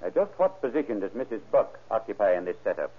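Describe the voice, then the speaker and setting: A man's voice: on the low side, very animated, quick pace, breathy edge. An actor playing a probing, businesslike male sheriff in an old radio crime drama. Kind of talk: 1930s radio acting. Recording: tinny, narrow old radio recording.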